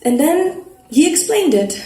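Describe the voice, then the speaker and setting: A woman giving a dramatic live reading of a first-person novel, conversational and expressive, like a playful teenage narrator. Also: high-pitched, unhurried. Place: live literary event at an arts festival. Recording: roomy live venue, background noise.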